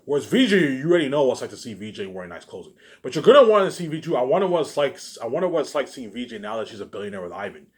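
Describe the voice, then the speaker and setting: A man delivering a rambling, profane, boastful monologue to online viewers, circling back and repeating his phrases, with sudden louder bursts. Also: medium pitch, very animated, very fast, few pauses. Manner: repetitive, restarting phrases over and over.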